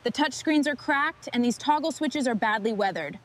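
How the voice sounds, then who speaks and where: A woman's voice: sits high, lively intonation, moderate pace, fairly bright tone. A woman speaking as a garage mechanic, giving terse procedural call-outs in a businesslike, instructional way.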